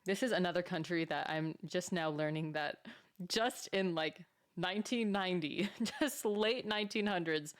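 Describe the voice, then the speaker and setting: A young American woman talks through a reaction video in a loose, chatty way, pausing to wonder aloud and laughing easily. Her voice sits a little low for a woman, and she sounds genuinely amazed by what she learns. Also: soft background music. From United States. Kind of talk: casual reaction commentary.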